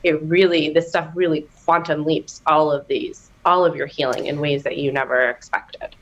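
Low-pitched woman guest, candid and animated storyteller. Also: normal speed, muffled recording. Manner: animated storytelling, laughing, bursts of high excitement. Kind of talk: candid personal testimony in a podcast interview.

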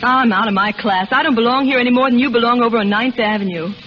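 An actress playing a sincere, wounded young shop girl in a 1930s radio melodrama, earnest and halting. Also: a little high, lightly acted. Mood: resigned, wistful. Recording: noisy, dull old 1930s radio recording.

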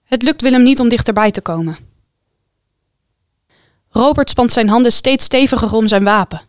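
A woman's voice: fairly high pitch, moderate pace, no room sound, studio quality. A woman delivering dramatic scripted narration as the storyteller of a radio play. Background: music.

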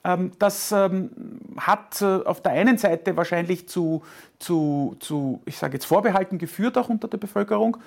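A woman's voice: on the low side, moderate pace, gravelly. A woman answering as an expert in an interview, hesitant and full of filler sounds.

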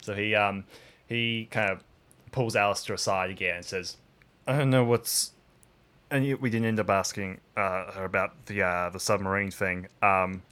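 A man's voice: lowish voice, steady tone, normal speed, pushed and bright, smooth. A man playing in an improvised audio drama, thinking aloud hesitantly. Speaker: player.

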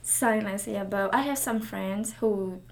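Young woman, from Thailand, native Karen speaker, halting English, searches for words, medium pitch. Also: gravelly, a little hiss or hum. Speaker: interview guest. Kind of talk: casual interview answers.

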